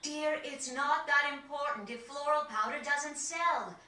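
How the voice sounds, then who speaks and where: A woman playing a gentle, worried village mother, pleading softly in a video game cutscene. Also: high voice, a little slow. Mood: tender, worried. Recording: echoey, distant game audio.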